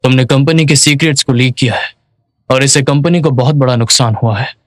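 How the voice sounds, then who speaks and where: A man playing a scheming, arrogant businessman with a measured, menacing manner in a dubbed drama. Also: medium pitch, no room sound, studio quality, natural voice. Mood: menacing, superior.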